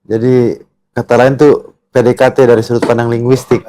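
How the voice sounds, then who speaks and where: A man's voice: medium pitch, flat delivery, brisk, warm tone, slight background noise. A man taking part in a casual discussion, chipping in with examples and short explanations. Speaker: discussion participant.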